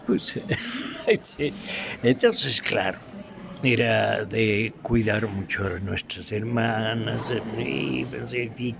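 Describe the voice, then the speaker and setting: An older man with an Ecuadorian highland accent reminisces in an interview, halting and anecdotal. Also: gravelly. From Quito, Ecuador. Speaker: veteran radio theatre actor being interviewed. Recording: noisy room recording.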